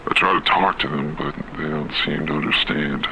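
Over faint hiss and noise comes an actor as a haunted, disoriented man with an extremely deep voice full of gravelly fry. He talks haltingly and broodingly, as if giving in to fate in a horror game tape. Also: steady tone, pushed and bright, exaggerated voice, low-quality recording. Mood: confused, uneasy. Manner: halting, hesitant, brooding delivery with trailing repetitions. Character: disoriented, haunted man slipping into dreamy, fatalistic surrender. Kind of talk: horror game taped monologue.

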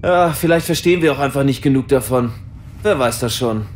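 A man's voice: medium pitch, fairly quick, a little hiss or hum, close to an everyday voice. A man playing an easygoing skeptical crewmate. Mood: casual. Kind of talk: audio drama acting.

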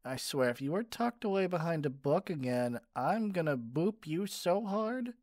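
A man acting in an ASMR roleplay as a gentle, doting caregiver, speaking softly in a soothing, coaxing manner. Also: on the high side, lively intonation, moderate pace, no echo, studio quality, natural voice. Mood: playful searching. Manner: soft, soothing, playful coaxing. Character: gentle, doting male caregiver comforting a partner.

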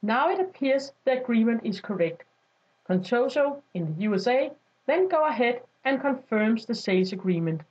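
Female tutorial narrator, notably high voice, scripted instructional read, slightly muffled lo-fi sound. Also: expressive, moderate pace, a little mellow. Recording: dull lo-fi voice-over.

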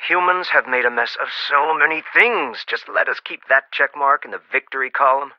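An actor playing a dry-witted archaeologist in a video game, talking in a wry, encouraging way. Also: male voice, medium pitch, lively intonation, normal speed, dry recording, lightly acted. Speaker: archaeologist on the phone to the player. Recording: dull lo-fi game audio, dry.

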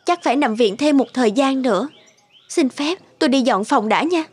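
A woman's voice: sits high, animated, moderate pace, a little mellow, husky. A woman playing a humble, kind young housekeeper in a cartoon, speaking politely and deferentially. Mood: polite, subdued. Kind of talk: Vietnamese cartoon voice acting. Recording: music behind the voice.

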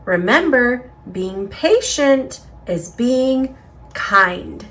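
High-voiced, slow-paced woman reading a picture book. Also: animated, a bit bright. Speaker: storybook reader. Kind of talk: children's picture book read-aloud.

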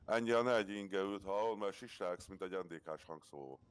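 A man chatting casually in character in an online role-play game. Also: sits low. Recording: noisy game voice-chat audio.

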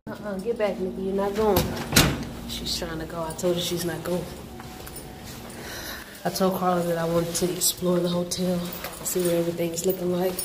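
A young woman truck driver chatting casually to her vlog camera, animated and talkative. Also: fairly low pitch, normal speed, loud music behind the voice, low-quality recording. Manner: chatty, animated, addresses viewers as y'all. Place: hotel and truck cab. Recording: background noise.

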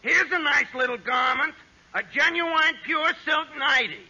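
A man's voice: very high-pitched, a little slow, pushed and bright, exaggerated voice. A man playing a prim, obliging shop clerk in a 1940s radio comedy, on a muffled vintage recording.

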